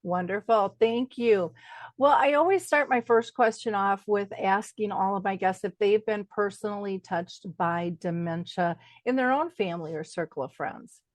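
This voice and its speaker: A woman's voice: mid-range voice, moderate pace, dry recording. A woman hosting a radio interview show, talking in an upbeat, enthusiastic way with frequent "uh" fillers. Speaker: host.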